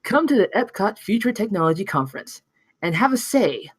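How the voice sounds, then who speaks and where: A woman's voice: mid-range voice, big pitch swings, a little fast, husky, no room sound. A woman reading an inviting 1970s promotional film announcer in a script table read, with a polished sales delivery.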